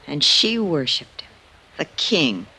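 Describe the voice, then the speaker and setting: A woman playing an ingenuous, loyal, easily moved character in an old radio drama, breathy and halting. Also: sits low, sing-song, bright, forceful tone, heavily acted, poor audio. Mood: tender, wistful. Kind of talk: 1950s radio drama acting. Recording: vintage radio drama recording.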